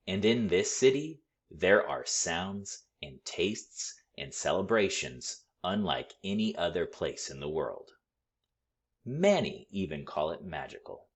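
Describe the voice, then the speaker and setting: A man reading a children's story aloud with expressive, deliberate, dramatic phrasing. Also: low-pitched. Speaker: storybook read-aloud reader.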